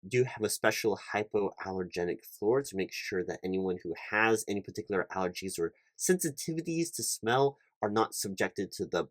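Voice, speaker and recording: A man's voice: fairly low pitch, a little mellow, no room sound, close to an everyday voice. A man playing an officious, polite recruiting manager in a one-sided ASMR roleplay, talking in a wordy, hesitant way and repeating himself. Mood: awkward, polite. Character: officious, rambling male corporate recruiting manager, polite and awkward.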